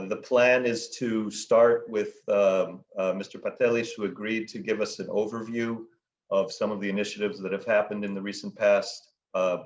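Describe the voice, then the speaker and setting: A man with a low, fairly even voice moderates a formal online panel. He lays out the plan politely, and the call audio sounds dull and lo-fi. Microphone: video call.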